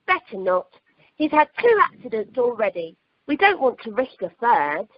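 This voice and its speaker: A woman's voice: a little high, big pitch swings, moderate pace. A woman in an amateur radio play, playing a sensible, wry woman who fusses with concern. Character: sensible, wry woman fussing over an injured man. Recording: noisy, dull telephone conference line.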